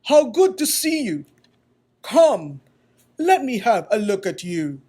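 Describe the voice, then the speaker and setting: A woman voicing a kindly, loving male wood carver in a children's storybook, speaking slowly, strongly and with emphasis. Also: medium pitch, very animated, dark tone, husky, heavily acted. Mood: delighted, booming. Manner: slow, deliberate, tender.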